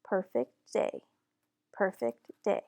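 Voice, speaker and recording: A woman's voice: slow, darkish tone, no echo, lightly acted. A woman voicing a fussy, easily annoyed young girl in a children's storybook. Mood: hopeful.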